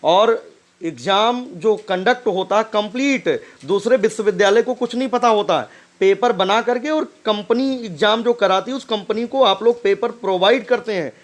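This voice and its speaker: A man's voice: sits high, moderate pace. A man giving informal commentary as a host, insistent and admonishing, often tagging his points with short check-in questions. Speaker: host commenting on a recorded phone call.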